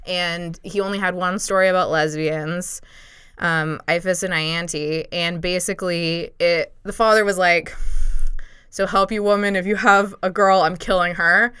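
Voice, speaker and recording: A young woman with a lowish, smooth, resonant voice talks through a topic in relaxed podcast chat. She strings her thoughts together with plenty of "like" and laughs easily. The sound is close and dry. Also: a little slow, no room sound. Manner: chatty, lots of "like" fillers, laughs often. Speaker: podcast co-host presenting a topic. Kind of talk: casual chat.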